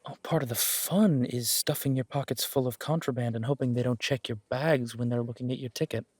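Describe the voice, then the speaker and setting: A man plays an intimidating yet affectionate mafia boss out on a date. His voice is husky and mid-pitched, recorded dry and close. He talks casually, teasing and swearing with mock indignation, as in a romantic audio roleplay. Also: fairly even intonation, fairly quick, darkish tone, dry recording, close to an everyday voice. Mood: relaxed, teasing.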